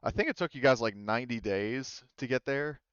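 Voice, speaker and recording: A man's voice: mid-range voice, a little fast, fairly bright tone, clear, resonant tone, muffled recording. A man narrating as game master, rambling with many fillers and restarts. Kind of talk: narrating a tabletop game, casual.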